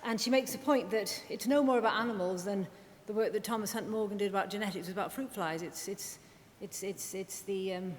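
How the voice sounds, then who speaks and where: A woman speech and language therapist giving a chatty conference presentation, full of quick asides and self-deprecating remarks. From Wales. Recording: reverberant hall with faint rumble.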